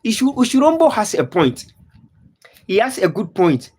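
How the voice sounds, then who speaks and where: A man's voice: sits high, sing-song, normal speed, darkish tone, husky. A man from Ghana hosting a live stream, talking casually about football and reading out viewers' comments. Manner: repetitive, earnest, reads out viewer comments. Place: lives in Dubai.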